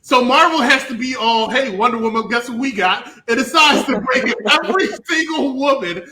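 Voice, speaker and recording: A man hosting an animated review show, ranting with theatrical emphasis and repeating himself for effect. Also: extremely high pitch, moderate pace, crowd noise. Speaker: host.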